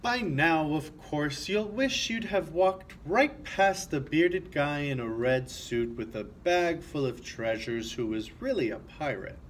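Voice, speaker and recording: A man's voice: fairly high pitch, lively intonation, slow pace, hardly any pauses. A young man reading a children's picture book aloud, expressively, with asides to young listeners. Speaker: storytime reader. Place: small office room, indoors. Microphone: camera a few feet away. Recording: faint background noise.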